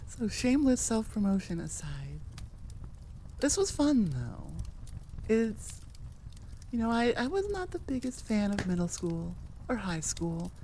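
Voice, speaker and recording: A woman talks to her listeners in a mellow, slightly low voice. She is casual and candid, rambling off the cuff with a self-deprecating streak. Faint noise sits behind her. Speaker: YouTube audio roleplay creator. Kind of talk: casual, unscripted chat to listeners.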